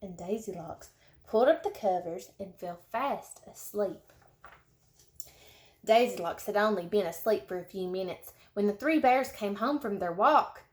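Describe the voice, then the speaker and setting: A woman reading a children's storybook as narrator, expressively and with dramatic, drawn-out emphasis. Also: medium pitch, expressive, a little mellow, roomy sound.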